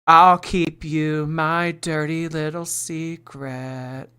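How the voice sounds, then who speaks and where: A woman in her late twenties chatting on a livestream, reading out viewer tips and teasing, swinging between loud and mumbled. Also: low-pitched, flat delivery, very slow, clear, resonant tone. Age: late 20s. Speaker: streamer. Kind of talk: livestream reaction chat.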